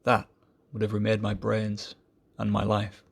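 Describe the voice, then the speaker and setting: A man giving an amateur poetry reading in a solemn style, with measured, deliberate phrasing. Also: lowish voice, completely flat delivery, creaky, slightly rough.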